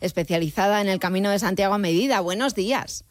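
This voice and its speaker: A woman's voice: mid-range voice, quick pace, no room sound. A woman with a Spain Spanish accent, a broadcast host introducing a guest in a prepared style. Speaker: radio host.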